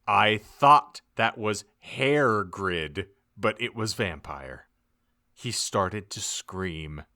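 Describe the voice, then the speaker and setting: Animated man narrating with slow theatrical emphasis. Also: on the low side. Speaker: podcast narrator. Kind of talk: mock-dramatic comedic audiobook narration.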